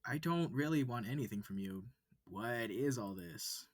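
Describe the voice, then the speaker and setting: A man voicing a wary, confused human student in a visual novel, halting and skeptical. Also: mid-range voice, lively intonation, no echo, studio quality, close to an everyday voice. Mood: annoyed, demanding. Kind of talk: visual novel character reading.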